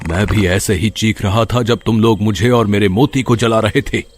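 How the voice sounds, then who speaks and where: A man voicing a vengeful young man's ghost in a bear's body for an animated story, menacing and accusatory. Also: on the low side, normal speed, slight background noise, natural voice. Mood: bitter, enraged. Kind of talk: dramatic Hindi animated story acting.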